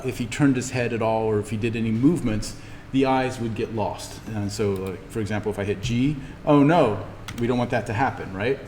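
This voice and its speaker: A man teaching an introductory animation class, talking informally through software steps and thinking aloud as he demonstrates. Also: medium pitch. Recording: steady noise behind the voice.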